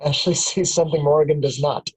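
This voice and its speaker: A man reading the stage directions aloud at a script table reading, in a plain, steady way. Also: a little high, fairly quick, slightly rough, low-quality recording. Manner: plain, steady, chuckles at times.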